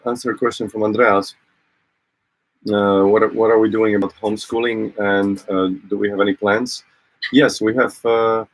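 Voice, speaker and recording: A man whose first language is Polish, co-hosting a casual livestream from a boat, talking in halting phrases full of fillers and restarts. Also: on the low side, dry recording, rough recording. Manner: hesitant, many fillers and restarts. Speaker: co-host of a sailing channel livestream.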